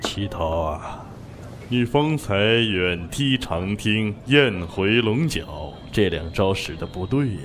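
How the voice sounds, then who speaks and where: A calm sword master acted by a man instructs in a low, very slow male voice. His manner is measured. Clear outdoor noise surrounds him in this wuxia radio play. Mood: calm, correcting. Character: calm, unhurried martial arts master, male. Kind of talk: Chinese wuxia audio drama acting.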